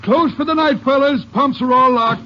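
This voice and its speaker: High-pitched country gas station attendant. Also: male voice, normal speed, noisy background. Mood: wary. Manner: folksy, protesting. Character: rural gas station attendant, plain stubborn country man. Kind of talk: old-time radio acting. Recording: dull old radio recording.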